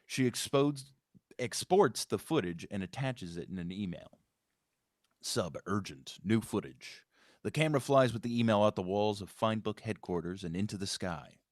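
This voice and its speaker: A man's voice: on the low side, expressive, normal speed, no room sound, clean studio sound. A man reading scripted stage directions as the narrator of a screenplay table read.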